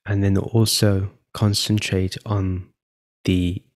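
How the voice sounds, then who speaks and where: A man leading a guided meditation as a podcast host, speaking gently and without hurry, with pauses between phrases. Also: low voice, level tone. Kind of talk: guided meditation, spoken instruction.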